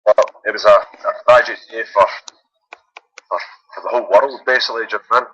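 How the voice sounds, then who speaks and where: A man's voice: on the low side, fairly even intonation, fast talker, sharp tone, breathy edge, dry recording, poor audio. A man from Glasgow with a Glaswegian accent, calling in to a phone-in and chatting casually in loose run-on phrases. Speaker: caller.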